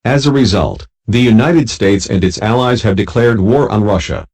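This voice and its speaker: A man playing a solemn American president giving a grave, measured, formal address to the nation in wartime. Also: on the low side, darkish tone, breathy edge. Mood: grave, resolute.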